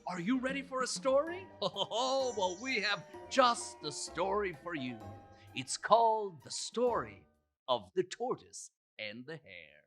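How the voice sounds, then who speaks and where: A woman hosting and reading children's bedtime stories in an animated, theatrical way with dramatic pauses. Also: medium pitch, far from the mic, faint music behind. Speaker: children's bedtime storyteller and host. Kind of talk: animated scripted storytelling for children.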